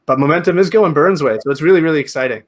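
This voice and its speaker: A man's voice: on the high side, rapid-fire. A man hosting a podcast, chatting casually and conversationally as the interviewer.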